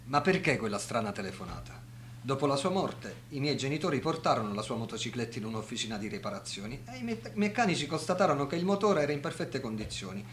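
A man's voice: mid-range voice, big pitch swings, quick pace, lightly acted. A man acting an earnest male character in a radio drama. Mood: earnest, questioning. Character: earnest men around a doctor: brother, friend, colleague. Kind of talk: radio drama monologue and dialogue. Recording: faint hum and noise.

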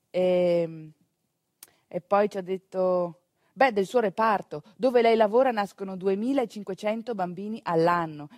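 A woman delivers a theatrical monologue to an audience, emphatic yet conversational, with deliberate pauses and repetitions. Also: mid-range voice, no echo, studio quality. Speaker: stage actress and monologist.

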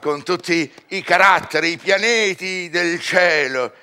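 An actor playing a greedy, childlike scholar hungry for magic, insistent and excitable, in a theatrical radio drama. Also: male voice, sits high, measured pace, clear, resonant tone, exaggerated voice. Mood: demanding.

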